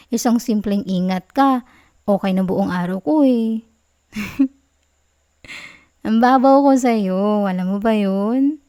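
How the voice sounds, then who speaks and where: A woman plays a sweet, clingy girlfriend with a Filipino accent in an ASMR roleplay. Her voice sits a little high and moves very slowly. She coos and teases softly, affectionate with a playful sulk. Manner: soft, cooing, teasing, giggly.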